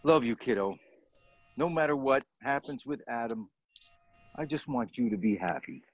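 A man plays an affectionate middle-aged dad in a radio drama. His mid-pitched voice moves up and down freely, in a close, dry recording. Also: animated, normal speed, breathy edge, no echo. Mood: tender, supportive. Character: affectionate father of a grown daughter.

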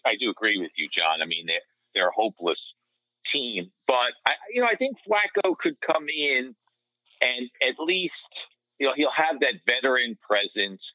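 A man's voice: mid-range voice, very animated, moderate pace, no echo. A man giving casual, conversational sports analysis as a podcast co-host, on lo-fi audio. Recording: dull, lo-fi remote audio.

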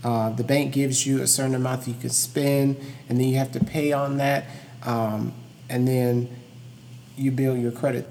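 A man's voice: medium pitch, little pitch movement, normal speed, darkish tone, a little hiss or hum. A young adult man hosting an informal educational solo podcast, emphatic and repetitive as he stresses his key points. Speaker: podcast host. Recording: long hollow room reverb.

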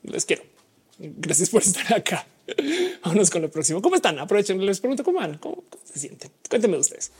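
A woman's voice: medium pitch, big pitch swings, brisk, darkish tone, slightly rough. A woman with a mixed Mexican and Colombian Spanish accent hosting a livestream, chatting casually as she reads and answers audience comments. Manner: casual, chatty, explains at length with slang. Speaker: livestream host. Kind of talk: live chat reading audience comments.

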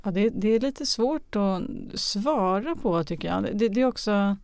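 A woman's voice: mid-range voice, lively intonation, a little mellow, no echo. A woman answering interview questions hesitantly, searching for words. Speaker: guest, radio drama artistic director.

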